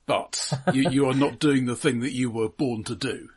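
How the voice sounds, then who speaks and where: A man's voice: mid-range voice, fairly even intonation, normal speed, breathy edge. A man co-hosting a casual film discussion, explaining points in a precise, careful way. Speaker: film podcast co-host.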